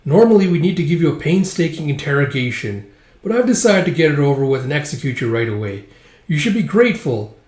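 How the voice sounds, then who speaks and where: A man's voice: a little high, sing-song, quick pace, a little mellow. A man voicing a villainous count in a story, gloating and taunting in a grandiose manner. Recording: roomy echo.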